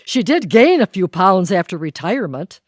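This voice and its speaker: A nosy, suspicious neighbour lady voiced by a woman with a mid-to-low, animated voice. She gossips dramatically in a comic audio play with a close, dry sound. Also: normal speed, no echo. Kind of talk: audio theatre comedy acting.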